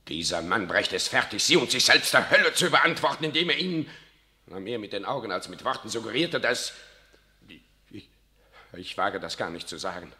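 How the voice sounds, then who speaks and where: An actor playing a stern, commanding older priest superior in a 1960s radio drama, admonishing with sharp outbursts. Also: male voice, fairly low pitch, expressive, brisk. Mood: alarmed. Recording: reverberant studio stage.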